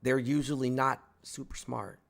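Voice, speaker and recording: A man in his forties hosts a heated debate with a steady mid-range voice. He lectures in a condescending way, stumbling and restarting as he builds his point. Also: mid-range voice, normal speed, creaky. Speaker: host.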